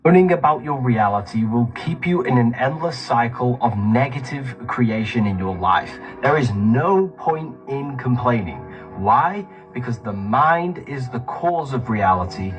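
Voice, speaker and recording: A man giving an instructive, emphatic motivational talk, posing rhetorical questions and answering them. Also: medium pitch. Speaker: motivational speaker. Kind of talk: motivational teaching on mindset. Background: loud music.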